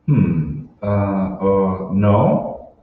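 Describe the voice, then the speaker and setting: A man's voice: deep voice, animated, very slow, full character voice. Man playing a puzzled husband, echoey and distant. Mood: uneasy, brooding. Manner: hesitant, bewildered, exclamatory. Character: puzzled, irritable married office man in sci-fi radio play. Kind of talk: old-time radio drama acting. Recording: roomy, muffled, distant stage recording with noise.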